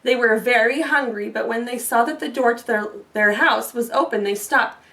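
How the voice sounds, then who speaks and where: A woman's voice: a little high, moderate pace, bright, forceful tone, distant mic. A woman reading a children's story aloud with little asides, recorded a bit away from the mic. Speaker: storybook reader.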